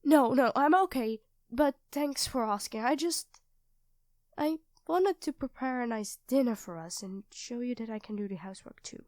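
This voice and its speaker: A woman's voice: fairly high pitch, normal speed, no echo, clean studio sound, natural voice. A woman playing a sweet, self-doubting young girlfriend in an intimate ASMR roleplay, speaking hesitantly and earnestly to her partner. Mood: apologetic, earnest. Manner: hesitant, soft-spoken, earnest, addressing a partner directly.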